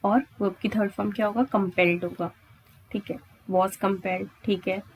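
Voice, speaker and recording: A woman's voice: medium pitch, steady tone, moderate pace, husky, low-quality recording. An Indian woman teaching grammar step by step, repeating points and answering her own questions with frequent check-in tags. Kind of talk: exam-prep lesson at a board, explaining step by step.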